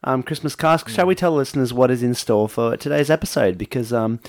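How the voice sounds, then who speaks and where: A man's voice: mid-range voice, fairly quick, no room sound. A man with an Australian accent co-hosting a podcast, chatting in casual banter with an unforced, conversational voice. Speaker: podcast co-host.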